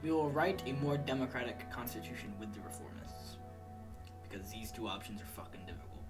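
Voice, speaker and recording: A man's voice: medium pitch, lively intonation, brisk, natural voice. A man playing a deliberating, reform-minded president in a political game, with hesitant starts to his statements. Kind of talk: role-played political game dialogue. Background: prominent music.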